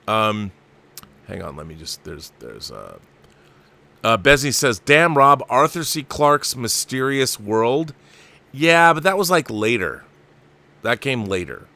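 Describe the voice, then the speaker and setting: A man's voice: on the low side, creaky, pushed and bright. A man hosting a casual live show, chatting enthusiastically with rambling asides and reading viewer comments aloud.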